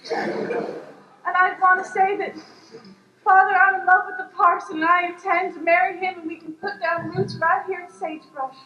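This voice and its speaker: A woman's voice: extremely high pitch, level tone, moderate pace, dull audio, slight background noise. A woman playing an earnest, devoted young girl in an improvised Western radio play, melodramatic, on an echoey live stage. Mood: earnest, lovestruck.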